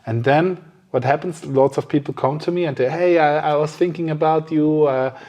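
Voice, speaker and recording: A man hosting a casual interview, speaking haltingly as he searches for his words. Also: fairly high pitch, warm tone. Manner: halting, searching for words, frequent short listener responses. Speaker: podcast host, interviewer.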